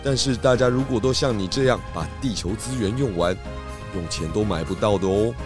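Man as a low-voiced, confident pig detective. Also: brisk, over music, lightly acted. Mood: calm, lecturing. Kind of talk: children's audio drama acting.

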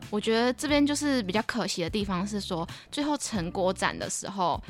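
A woman's voice: mid-range voice, big pitch swings, fairly quick, soft background music. A young woman with a Taiwanese Mandarin accent chatting casually as a podcast co-host. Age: young adult. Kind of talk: casual chat.

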